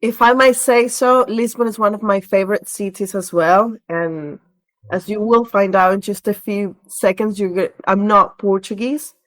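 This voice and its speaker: A woman's voice: medium pitch, moderate pace. Woman in conversational interview. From Venezuela. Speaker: podcast guest, relocation director. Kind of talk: conversational interview answers, storytelling and explaining.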